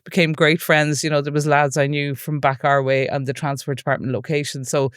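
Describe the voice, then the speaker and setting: A woman's voice: low voice, level tone, a little fast, dry recording, studio quality. A woman in her forties from the west of Ireland, an Irish speaker, with an Irish accent, chatting as an interview guest and telling stories full of asides. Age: 40s. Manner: chatty storytelling, many "like" and "you know" asides. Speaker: film producer, interview guest. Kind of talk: relaxed podcast interview.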